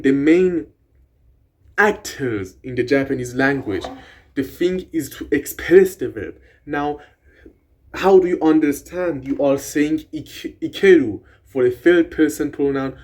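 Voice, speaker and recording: A young man whose first language is Persian, casually explaining grammar in an excited, rambling way with restarts and swearing. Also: a little high. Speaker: language vlogger.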